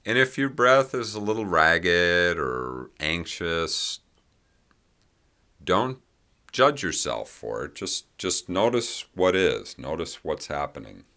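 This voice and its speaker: Very deep-voiced man guiding meditation slowly. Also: very animated. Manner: gentle, unhurried phrasing with long pauses. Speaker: meditation guide. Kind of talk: guided meditation, unscripted instruction.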